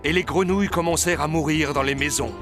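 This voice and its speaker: Storybook narrator, male, slightly high pitch, scripted delivery over clear background music. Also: fast talker. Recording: music under the voice.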